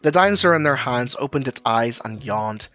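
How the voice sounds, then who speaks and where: A man's voice: medium pitch, lively intonation, normal speed, a bit bright, soft background music. A man reading scripted narration for an audio drama as its storyteller.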